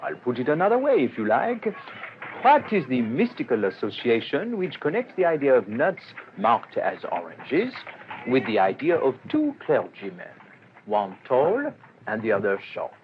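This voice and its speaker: A courteous, methodical French police inspector in an old-fashioned radio drama. He is dryly witty and deliberate, with a widely swinging intonation. The sound is muffled, with some background noise. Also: mid-range voice, huge pitch swings. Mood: polite, urgent.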